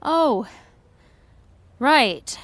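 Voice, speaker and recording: A woman's voice: sits high, expressive, extremely slow, no room sound, exaggerated voice. A woman playing a flustered, indignant young actress with an emphatic, exasperated manner, in a naturalistic audio comedy-drama. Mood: groggy, startled.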